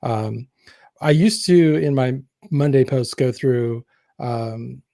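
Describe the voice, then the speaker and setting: A relaxed man hosts a live podcast from a video call. His voice has a mellow, darker tone and sounds dry and close. He talks loosely, with plenty of fillers. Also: medium pitch, takes it slowly, gravelly, dry recording. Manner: relaxed, with many fillers and chuckles. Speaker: podcast host.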